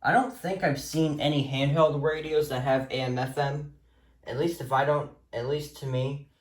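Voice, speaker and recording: A man's voice: a little high, steady tone, moderate pace. A man casually showing gadgets hands-on, talking in a hesitant, rambling way into a phone camera. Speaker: video host showing a radio collection. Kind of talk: casual hands-on show-and-tell. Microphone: phone camera mic, handheld.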